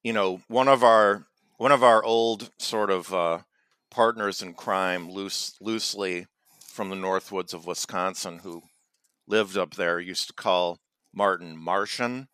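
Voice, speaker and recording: A podcast co-host with a lowish voice rambles through a joke, teasing his partner and restarting his sentences. His delivery is loose and casual. The recording is dry and close. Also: unhurried, a bit bright, clear, resonant tone, no room sound. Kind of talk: casual comedic chat.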